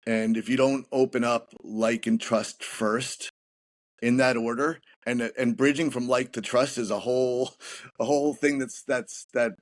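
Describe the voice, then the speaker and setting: A man who is a guest on a business podcast, explaining his methods in a steady way while often repeating words as he restarts. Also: mid-range voice, unhurried. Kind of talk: interview answers on leadership.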